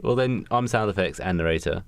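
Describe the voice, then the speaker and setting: A man's voice: low-pitched, brisk, clean tone, no room sound. A man co-hosting a podcast, chatting casually and jokingly with friends. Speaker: podcast co-host. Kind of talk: casual joking group chat.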